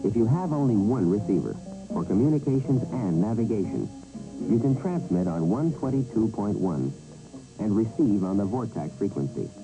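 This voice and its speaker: A man's voice: on the low side, expressive, moderate pace, low-quality recording. A man narrating a vintage instructional training film in a scripted, wry and emphatic way. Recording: vintage 1970 film soundtrack with music.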